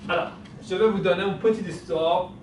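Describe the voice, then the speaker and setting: A man's voice: high-pitched, steady tone, fairly quick. A man from Iran with an Iranian accent and Persian as his native language, giving a humorous personal storytelling talk to an audience. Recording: echoey room, distant mic, clearly noisy.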